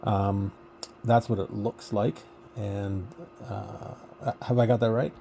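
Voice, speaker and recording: Low, mellow man musing over noisy radio. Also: fairly even intonation, slow, creaky. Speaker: amateur radio operator. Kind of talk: casual radio chat. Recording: noisy amateur radio digital voice contact.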